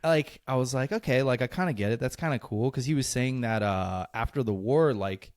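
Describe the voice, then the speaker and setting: A man's voice: mid-range voice, fairly quick, dry recording, clean studio sound. A young man co-hosting a podcast, chatting loosely with lots of fillers and laughs. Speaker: podcast co-host. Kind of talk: casual chat.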